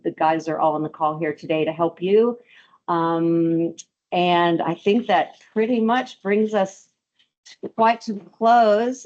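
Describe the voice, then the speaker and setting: A woman with a low voice hosts a webinar over a video call. She stops and restarts often, with plenty of ums. The call audio sounds dull and lo-fi. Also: darkish tone. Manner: hesitant, many ums and restarts. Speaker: webinar host. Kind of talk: webinar presentation.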